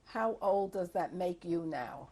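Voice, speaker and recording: A woman conducting an oral history interview, asking questions in a measured, formal way. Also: lowish voice, animated, mellow.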